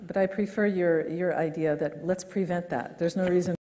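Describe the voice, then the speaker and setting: A woman moderates a panel discussion in a low, mellow voice. She speaks conversationally, pausing on plenty of fillers. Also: steady tone.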